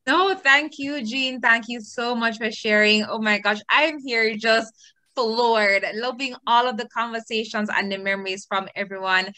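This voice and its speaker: A woman's voice: on the high side, a little slow, pushed and bright, dry recording. A young woman in her twenties moderating an online alumni event, upbeat and effusive. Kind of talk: event hosting on a video call. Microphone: video call.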